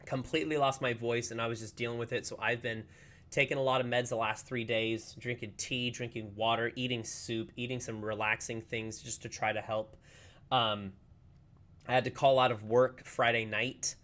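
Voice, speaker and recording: A man streaming a game in casual, rambling chat, slightly hoarse as he recovers from a lost voice. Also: medium pitch, level tone, moderate pace, a bit bright. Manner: chatty, upbeat, rambling with many fillers. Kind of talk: casual livestream chat while playing.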